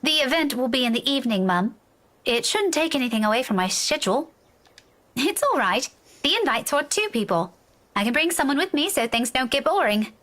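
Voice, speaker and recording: A woman's voice: sits high, very animated, fairly quick, fairly bright tone. A woman acting as a dutiful young adult daughter in a video game, reassuring, easygoing and lightly playful.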